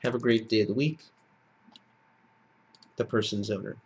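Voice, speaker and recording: A man with a slightly low voice narrates a screencast tutorial. He explains each step in a plain-spoken, instructional way, as a teacher guiding someone through software. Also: moderate pace, slightly rough.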